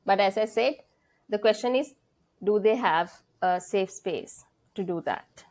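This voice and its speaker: A woman's voice: medium pitch, unhurried, a little mellow. A middle-aged woman from Sri Lanka with a Sri Lankan accent, a senior English lecturer being interviewed, talking earnestly and thinking aloud. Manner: earnest, thinking aloud with frequent fillers and restarts. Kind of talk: conversational interview.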